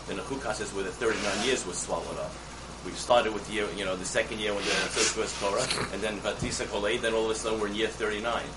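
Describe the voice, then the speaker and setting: A man, a student in a class, adding a long comment that starts halting and then runs on quickly. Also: on the low side, fairly even intonation, far from the mic, very noisy. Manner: fast, halting start with repeated words.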